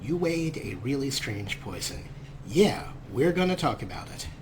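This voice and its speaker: A man's voice: medium pitch, very animated, a little slow, noisy background. A man hosting a let's play with wry, amused, casual commentary.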